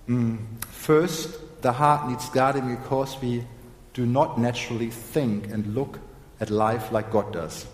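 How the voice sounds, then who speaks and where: Hoarse guy preaching real slow, keeps clearing his throat, big echoey hall. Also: medium pitch. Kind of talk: sermon. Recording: echoey hall with long reverb.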